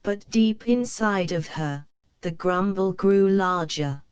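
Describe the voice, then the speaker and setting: A woman narrating a children's storybook in a slow, clear read-aloud manner. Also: medium pitch, a little mellow, highs cut off.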